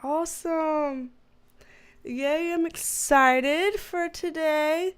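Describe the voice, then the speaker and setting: A woman with a nasal, stuffy voice, chatting casually and giggling as she hosts a livestream. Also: extremely slow. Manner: bubbly, giggly, greets viewers with high squeals. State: stuffy with sinus trouble, sniffly. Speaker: tarot reader hosting a livestream. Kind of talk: casual livestream chat greeting viewers.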